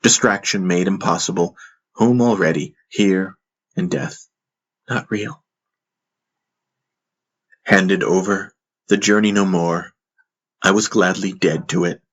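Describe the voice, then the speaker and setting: A man reading dramatic scripted audiobook narration in a solemn, measured manner. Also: deep voice, fairly even intonation. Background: music under the voice.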